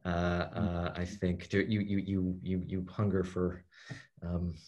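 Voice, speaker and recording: A middle-aged man speaking as a translator over a video call. His voice is very low. He talks casually and haltingly, restarting his sentences and filling pauses with ums. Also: level tone, darkish tone. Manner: hesitant, many fillers and restarts. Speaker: translator guest. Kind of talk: casual conversational talk. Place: room at home with bookshelves.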